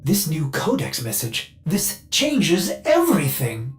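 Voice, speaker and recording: A low-voiced, curious game guide. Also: female voice, wildly swooping pitch, slow, over music, full character voice. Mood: astonished. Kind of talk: dramatic video game voice acting.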